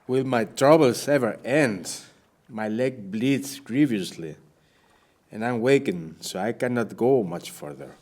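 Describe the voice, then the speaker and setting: A man playing a wounded, weary, grave Spanish captain on the run in a period audio drama, with formal, measured speech. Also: mid-range voice, a little mellow, close to an everyday voice. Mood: despairing. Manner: formal, measured, archaic phrasing.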